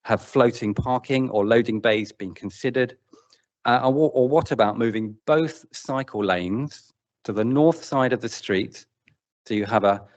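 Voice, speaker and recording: A man giving a prepared statement to a council committee, measured with hesitant fillers. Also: medium pitch. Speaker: public speaker at council committee.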